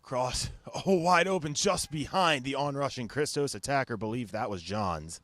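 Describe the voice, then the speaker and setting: A man's voice: mid-range voice, normal speed, a bit bright, no room sound. A man calling live soccer play-by-play in clipped, fragmentary phrases. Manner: clipped, fragmentary calls of the action. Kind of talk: live television sports commentary.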